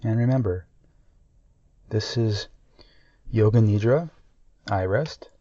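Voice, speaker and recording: A man guides a meditation in a mellow, relaxed voice. He speaks very slowly and calmly, in short measured phrases with long pauses. The recording is dry and somewhat muffled. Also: fairly low pitch, dry recording. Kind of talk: guided meditation, slow and soothing.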